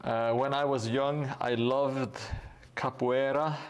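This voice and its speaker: A man streaming live, chatting casually and thanking viewers while he paints, with a relaxed, hesitant delivery full of fillers. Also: mid-range voice, takes it slowly, smooth.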